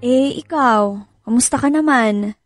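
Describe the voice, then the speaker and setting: A woman's voice: a little high, a little slow, close to an everyday voice. An actress playing a caring, inquisitive wife and mother in her thirties in naturalistic radio drama dialogue. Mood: concerned. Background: music bed.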